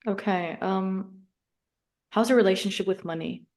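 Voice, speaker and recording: A woman's voice: medium pitch, a little slow, a little mellow. A woman interviewer asking short, direct questions.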